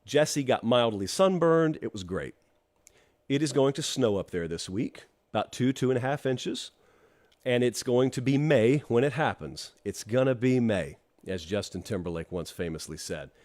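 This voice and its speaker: A man's voice: medium pitch, expressive, moderate pace, a little mellow. A middle-aged man hosting a live college football talk show, congested from allergies, chatting with wry asides to viewers in the live chat. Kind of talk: live-streamed solo talk show with ad read.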